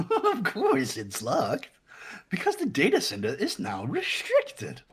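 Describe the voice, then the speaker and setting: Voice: mid-range voice, wildly swooping pitch, takes it slowly, husky, heavily acted. A performer voicing a gleeful, mocking robotic bear mascot villain in a video game fan dub, with a sing-song, taunting manner. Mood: smug, mocking.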